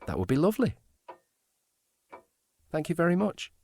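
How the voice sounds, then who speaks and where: An actor playing a courteous, reserved police sergeant in a period audio drama, speaking in a formal, measured manner. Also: male voice, a little high, big pitch swings, creaky, dark tone, breathy edge, heavily acted. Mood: gently pleased.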